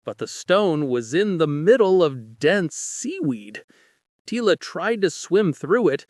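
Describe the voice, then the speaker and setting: A man narrating a bedtime story in a gentle, soft, unhurried way. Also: a little high, animated, no echo, clean studio sound.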